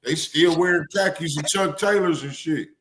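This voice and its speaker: A man's voice: fairly high pitch, normal speed, a bit bright, a little hiss or hum. A man in a casual podcast chat, explaining his view in a laid-back, profane way.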